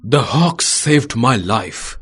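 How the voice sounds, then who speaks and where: A woman voicing a proud, hot-tempered king in a children's story, with dramatic, deliberate delivery. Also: very deep voice, animated, slightly rough, voice pushed down, exaggerated voice. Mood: remorseful. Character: proud, hot-tempered king scolding his hawk, later remorseful.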